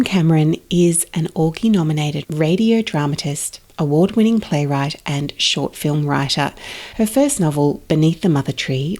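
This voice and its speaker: Podcast host, female, slightly low voice, for introductions and interviews. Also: darkish tone. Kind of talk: scripted introduction and friendly interview chat.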